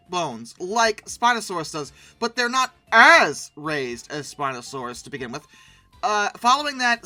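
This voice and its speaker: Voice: medium pitch, bright, forceful tone. A commentator giving casual, humorous commentary in a sarcastic, theatrical way. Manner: sarcastic, theatrical, breaking into mock outbursts. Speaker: YouTube host reviewing old dinosaur art.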